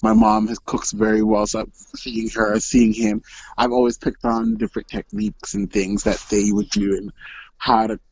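A man in his twenties from Montreal, talking as a podcast guest in a relaxed, enthusiastic, rambling way over a remote line. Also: on the low side, moderate pace, vocal fry, no echo, lo-fi sound. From Montreal, Quebec. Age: 20s. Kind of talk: casual chat.

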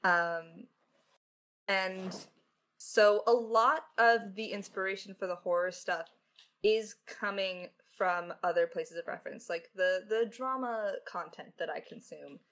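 A talkative woman speaking as a podcast writer in a casual Q&A, reflective and halting, with self-deprecating asides. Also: mid-range voice, a bit bright. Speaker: podcast lead writer answering questions. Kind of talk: casual creator Q&A.

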